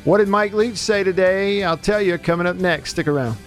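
A man's voice: high voice, normal speed, a bit bright, soft background music. A man hosting casual sports talk radio, chatty and joking as he reads out listener texts. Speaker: radio show host. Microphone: close studio radio microphone.

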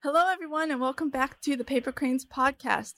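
Young female podcast host, upbeat, gravelly fry, faint music bed. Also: high-pitched, a little fast, fairly bright tone. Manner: upbeat and chatty. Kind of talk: casual podcast hosting.

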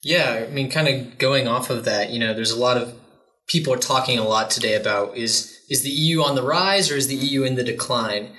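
A man's voice: mid-range voice, brisk, sharp tone. A man co-hosting an interview podcast, asking long, hedging questions. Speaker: podcast co-host.

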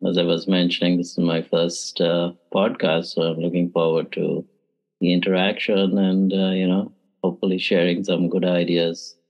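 A man's voice: low-pitched, level tone, moderate pace, a little mellow, dull audio. A middle-aged man from India, a math education professor, giving thoughtful interview answers with frequent "uh" and "you know".

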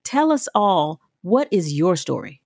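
A woman hosting a podcast, introducing the show and interviewing, with a husky voice. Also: lowish voice, very animated, measured pace, darkish tone. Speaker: podcast host.

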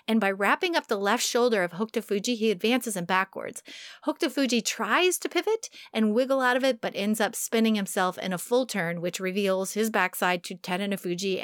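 A woman's voice: fairly high pitch, no room sound, clean studio sound. A woman co-hosting a sumo podcast, giving detailed, explanatory commentary on each bout.

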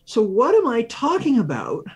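A man's voice: very high-pitched, huge pitch swings, normal speed, dull audio. A man speaking as an expert interview guest, explaining science step by step, thinking aloud and answering his own questions with examples. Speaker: research professor, interview guest.

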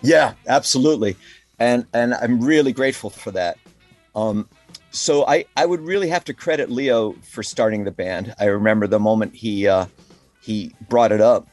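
A man's voice: medium pitch, normal speed, darkish tone, no echo, faint music behind. Middle-aged man, rambling enthusiastic talker. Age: middle-aged or older. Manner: rambling, enthusiastic, frequent "you know" and "like". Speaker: musician being interviewed. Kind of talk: casual, reflective interview conversation. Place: calling in remotely to a podcast.

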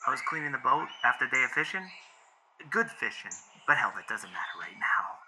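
An actor playing a foul-mouthed young American boyfriend in a found-footage audio drama, rambling and questioning himself. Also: male voice, mid-range voice, sing-song, brisk, heavy background noise, natural voice. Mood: panicked, agitated. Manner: rambling, profane, self-questioning. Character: frantic, foul-mouthed young boyfriend searching for his girlfriend.

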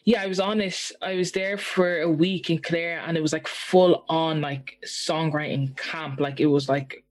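Chatty young man, higher voice. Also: unhurried. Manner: chatty, animated storytelling with many fillers. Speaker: singer and guest. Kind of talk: casual chat.

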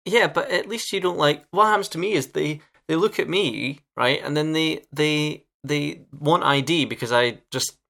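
A man's voice: fairly high pitch, normal speed, gravelly, few pauses, pushed and bright. A 27-year-old man, hosting a podcast and telling chatty stories that stumble over themselves. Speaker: podcast host. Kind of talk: casual chat.

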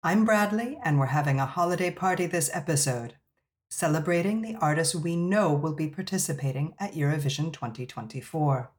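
A man's voice: fairly high pitch, fairly quick. A man hosting a podcast, welcoming guests with upbeat introductions over a remote call mic. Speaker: podcast host. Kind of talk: casual podcast chat.

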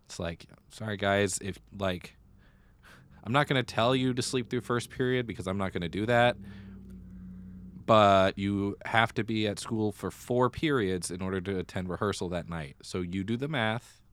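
A man's voice: on the low side, moderate pace, a bit bright, a little hiss or hum. An American man co-hosting a podcast, chatting casually with a wry, dry sense of humor. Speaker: podcast co-host. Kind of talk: casual theatre news chat.

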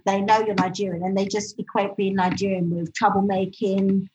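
Woman, casual interview, animated storytelling, quotes voices, slightly muffled lo-fi audio. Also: medium pitch, normal speed, background noise. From United Kingdom.

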